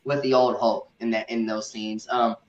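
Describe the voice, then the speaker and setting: Echoey, halting man chatting about films. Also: fairly low pitch, level tone. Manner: halting, repeats words, enthusiastic fan talk. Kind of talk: casual movie review chat. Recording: echoey room, dull muffled audio.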